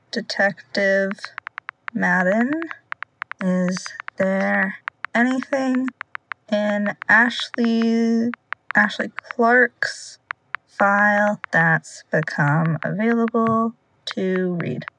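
A woman's voice: medium pitch, extremely slow, pushed and bright, slight background noise, exaggerated voice, poor audio. A young woman playing an anxious, sardonic amateur sleuth in a naturalistic audio drama, talking in a casual, rambling way. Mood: brooding.

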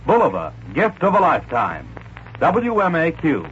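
Animated American male announcer, old radio. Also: on the high side, moderate pace. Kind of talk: scripted 1940s radio advert and station announcement. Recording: muffled, hissy 1940s radio broadcast.

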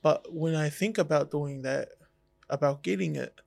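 A man's voice: fairly high pitch, moderate pace, gravelly, darkish tone. A man, a tattoo artist whose first language is Tongan, talking in an easygoing, reflective way with jokey asides on a casual podcast.

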